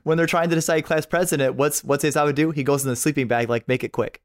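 A man's voice: a little high, fairly even intonation, fast. Enthusiastic man in casual podcast chat. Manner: enthusiastic, informal, rambling. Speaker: podcast panelist.

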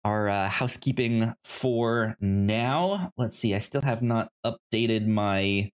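A man hosting a podcast in a casual, chatty style, sometimes halting and repeating words as he searches for phrasing. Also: on the low side, clean tone. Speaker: podcast host.

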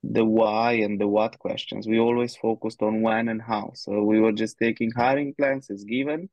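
A man speaks in a fairly low voice that has a gravelly edge. He pauses often and fills gaps as he thinks his answers through. The sound is dry, with no room around it. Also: fairly even intonation, darkish tone, dry recording, muffled recording. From Romania. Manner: hesitant, many fillers, thinks aloud. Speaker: guest, head of people. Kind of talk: conversational interview answers.

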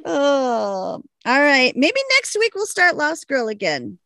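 A woman's voice: high-pitched, big pitch swings, takes it slowly, creaky, sharp tone, no echo, clean studio sound. A woman co-hosting a podcast in casual chat, reading out a listener's email and joking with her co-host. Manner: laid-back, laughing, self-teasing.

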